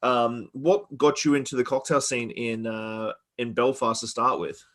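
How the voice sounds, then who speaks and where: A man's voice: medium pitch, steady tone, a little slow, a bit bright. A man who grew up in Australia, hosting a podcast in casual chat and rambling with many fillers and restarts. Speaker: podcast host. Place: Victoria, Canada.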